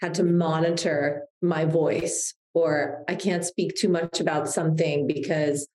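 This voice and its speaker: A woman's voice: low voice, steady tone, a little slow, echoey room, poor audio. A woman in her thirties, a guest on a podcast interview, talking in a reflective, heartfelt way with frequent restarts. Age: 30s. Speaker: guest, publicist.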